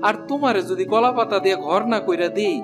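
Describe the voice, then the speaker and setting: A man's voice: high voice, animated, fast talker, over music. A man with a rural Bangladeshi accent playing a humble, forgiving poor village husband in a cartoon, plain-spoken and deferential. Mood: obliging. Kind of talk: cartoon dialogue acting.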